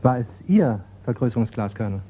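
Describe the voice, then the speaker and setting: A man's voice: mid-range voice, expressive, natural voice. Guy playing patient radio play detective, keeps probing, thin muffled old sound. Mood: patient, insistent. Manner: questioning, measured, persistent. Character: patient, probing male investigator. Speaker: actor. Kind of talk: German radio crime drama acting. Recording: muffled, slightly noisy radio play recording.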